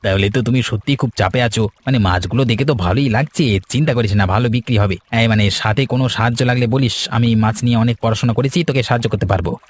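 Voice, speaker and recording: A man's voice: mid-range voice, rapid-fire, hardly any pauses, close to an everyday voice. A man voicing a scheming villager who poses as a helpful friend in a cartoon folk tale, with a sly, overfamiliar, wheedling manner. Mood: falsely helpful. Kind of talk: cartoon fairy-tale dialogue.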